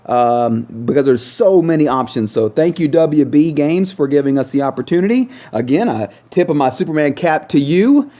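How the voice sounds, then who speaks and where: A man hosting a gaming video with casual, chatty commentary, thinking aloud with trailing pauses. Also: a little high, lively intonation, dark tone, highs cut off.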